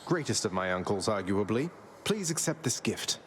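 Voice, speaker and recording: Deep-voiced wry young prince. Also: male voice, sing-song, moderate pace. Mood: dry, wry. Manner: dry, polite. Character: wry rebellious young male underworld prince. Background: loud music.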